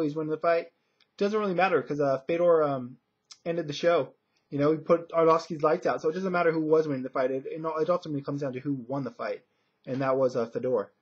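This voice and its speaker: A man with a slightly high, rough-edged husky voice gives casual sports commentary. He speaks off the cuff in a halting, conversational way. The audio is dull and lo-fi, like a webcam recording. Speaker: fight commentator and video blogger. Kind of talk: casual unscripted fight prediction vlog.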